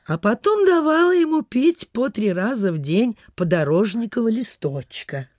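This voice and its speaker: A woman's voice: fairly high pitch, sing-song, normal speed, a little mellow, no room sound, clean studio sound. An actress playing a superstitious, chatty provincial landlady in a radio play, with sighing, gossipy, old-fashioned folksy speech. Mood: chatty, grateful. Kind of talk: Soviet radio theatre acting.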